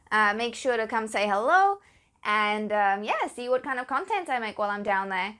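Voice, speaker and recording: A woman's voice: high voice, a bit bright, no echo. A young woman, a speech pathologist and podcast host, chatting enthusiastically with many fillers, then explaining her work. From Australia. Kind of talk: casual chat and explanatory talk.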